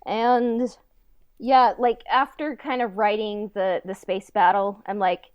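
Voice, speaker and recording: A woman co-hosting a podcast, chatting casually in a halting, informal way. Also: medium pitch, steady tone, clear, resonant tone, dry recording, highs cut off. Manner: halting, informal, frequent "like" and "you know". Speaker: podcast co-host and audio drama writer. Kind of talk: casual conversational chat.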